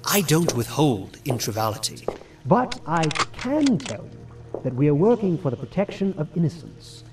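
Guy doing a suave secretive crime boss type for a cartoon, highish voice that swings around, very formal, music behind. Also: very animated. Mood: guarded, courteous. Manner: formal, wordy, measured and politely menacing. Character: suave, secretive male animal leader of underground outfit. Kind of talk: dramatic comic fandub dialogue.

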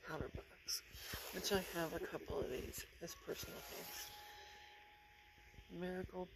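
A woman with a hoarse, flu-worn voice gives casual running commentary while browsing a large indoor antique mall, filmed on a handheld phone. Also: little pitch movement, a little slow. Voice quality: gravelly, low-energy, half-whispered asides. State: hoarse from the flu, losing her voice. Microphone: handheld phone camera. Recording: noisy shop ambience behind phone audio.

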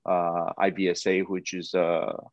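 A man's voice: low-pitched, flat delivery, normal speed, dry recording. Man from Taiwan on a dull call line. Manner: hesitant, many fillers and restarts. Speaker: whisky brand ambassador guest. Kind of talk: casual chat over a video call. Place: indoors, room with whisky bottles and banners. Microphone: video call.

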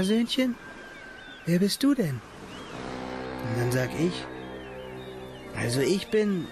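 An actor playing an earnest, questioning young male intern in a German radio drama, musing and hesitant. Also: fairly high pitch.

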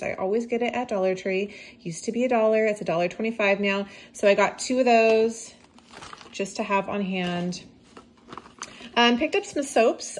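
A woman's voice: mid-range voice, a little hiss or hum. A woman talking casually through a shopping haul in voice-over, upbeat and rambling with fillers, recorded at home. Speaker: vlogger. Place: home, washing machines running.

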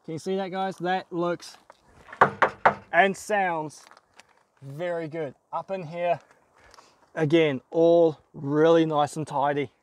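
Young Aussie host, high bright voice, excitable and exclaiming, filmed outdoors in open bush. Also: male voice, slow, pauses often, background noise. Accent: Australian. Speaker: car wreck hunter, channel host. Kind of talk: enthusiastic outdoor vlog. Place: outdoors in open dry bushland.

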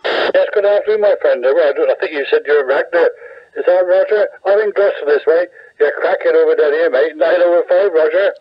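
A man's voice: a little high, brisk. A British man in friendly, casual chat as a radio operator, heard through a CB radio speaker. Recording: dull band-limited CB radio transmission.

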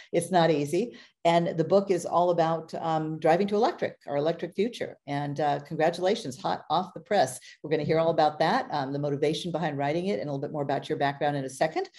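Middle-aged lady hosting a video chat, low mellow voice, chatty, laughs a lot. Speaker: host. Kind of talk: video call interview. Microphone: video call.

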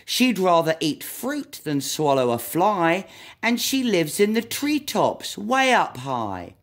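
A woman's voice: lowish voice, very animated, takes it slowly, heavily acted. A woman reading a storybook aloud as a lost, upset young monkey who protests in exasperation. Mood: exasperated.

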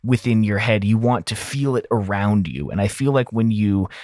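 A man's voice: on the low side, normal speed, a little mellow, no echo, clean studio sound. A man explaining audio mixing in a casual tutorial style, informal and thinking aloud as he goes.

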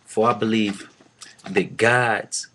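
A man in his thirties preaches at home into a laptop webcam, his voice sitting fairly low. He reads scripture with mounting force and circles back over his own phrases for emphasis. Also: fairly even intonation, slow, a bit bright, a little hiss or hum. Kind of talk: informal Bible teaching to a webcam. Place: small room at home, indoors.

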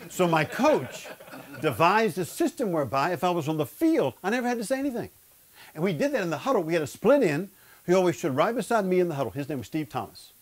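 A man in his fifties who stutters, giving a humorous sermon from a studio stage with a lapel mic. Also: fairly high pitch. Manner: stutters, jokes about it. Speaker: speaker of a men's Bible study. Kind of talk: humorous sermon to a live audience. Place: studio stage before a seated audience, indoors.

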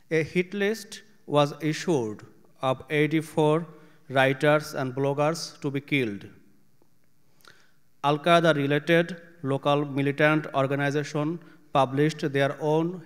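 A man in his thirties from Bangladesh reads a prepared statement at a panel, slow and careful with a few halting restarts. His voice is smooth and clear and sits a little high. It rings out through a reverberant theater hall, picked up by a stand mic on stage. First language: Bengali. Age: 30s. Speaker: panelist, exiled writer. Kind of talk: reading a prepared personal statement. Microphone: stand microphone at a panel.

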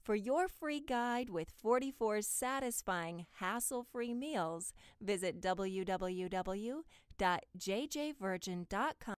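A woman giving a polished, clearly enunciated scripted promotional read as a voice-over artist. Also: medium pitch, slow pace, no room sound, clean studio sound. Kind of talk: scripted promotional voice-over for health and diet ads.

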